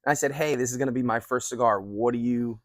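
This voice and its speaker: A man's voice: mid-range voice, fast talker, no echo, studio quality. A man in his forties hosting a casual chat as a cigar reviewer, rambling along in an appreciative, polite way. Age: 40s. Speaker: cigar reviewer and video host.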